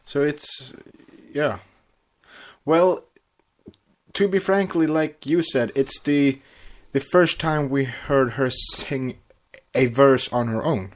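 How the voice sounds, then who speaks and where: Hesitant Swedish man, mid-pitched voice. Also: slow, long pauses. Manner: hesitant, often repeats words. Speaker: podcast co-host. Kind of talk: casual fan podcast chat.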